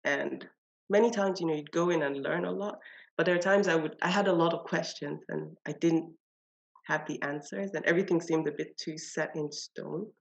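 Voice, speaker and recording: A woman with a Nigerian accent, a designer and artist, telling her story in a podcast interview with thoughtful pauses and light laughs. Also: low voice, gravelly, dull audio. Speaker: guest, industrial designer and artist.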